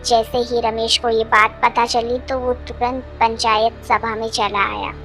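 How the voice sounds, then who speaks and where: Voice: medium pitch, little pitch movement, unhurried, sharp tone, soft background music. A performer voicing a kind, honest village schoolmistress, composed and explanatory, in a cartoon.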